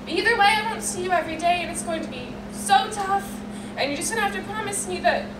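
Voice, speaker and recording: A woman's voice: extremely high pitch, fast. A young woman in her late teens earnestly reading her own heartfelt poem aloud at a school poetry reading. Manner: earnest and heartfelt, emotional, reads in long run-on lines. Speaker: student poet. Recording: echoey room, distant mic, loud ambient noise.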